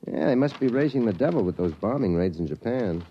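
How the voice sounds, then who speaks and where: An easygoing small-town dad acted by a man, low and mellow, with a lively rise and fall. He muses in a folksy way, as in a muffled vintage radio play. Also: brisk, slight background noise, lightly acted. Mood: amused, musing. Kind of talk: 1950s radio drama acting.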